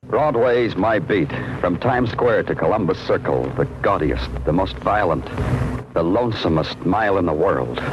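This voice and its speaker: An actor playing a somber, hard-boiled police detective in 1950s radio noir style, clipped and poetic. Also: male voice, deep voice, animated, normal speed, muffled recording, background noise. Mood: brooding. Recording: vintage radio drama, loud music behind.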